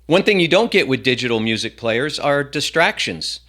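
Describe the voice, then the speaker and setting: Bright-voiced man reviewing gadgets. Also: mid-range voice, expressive, moderate pace. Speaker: tech reviewer, host. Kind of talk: casual gadget review to camera.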